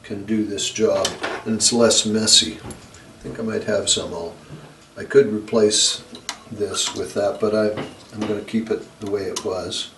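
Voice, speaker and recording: Slow, low-voiced man in a roomy recording. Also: steady tone. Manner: hesitant, unscripted, halting delivery. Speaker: electronics repair video host. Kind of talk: hands-on repair tutorial narration. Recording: roomy, distant mic, noisy background.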